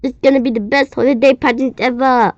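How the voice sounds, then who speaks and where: A cheerful, excitable teacher gushes with bubbly delight in this storybook read-aloud, voiced by a woman. The recording is dry and somewhat dull, like a lo-fi home setup. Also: sits high, brisk, no echo, pitched above the performer's own voice, natural voice. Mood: excited.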